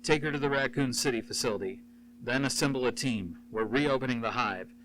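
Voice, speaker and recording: A man's voice: medium pitch, a little fast, fairly bright tone, a little hiss or hum, pitched above the performer's own voice, lightly acted, poor audio. A man voicing a cold, commanding corporate major in an audiobook, giving clipped, authoritative orders.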